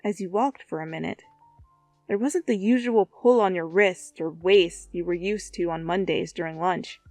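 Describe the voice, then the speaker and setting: A woman reading fan fiction aloud as an expressive second-person story narration. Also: on the low side, moderate pace, no echo, faint music behind.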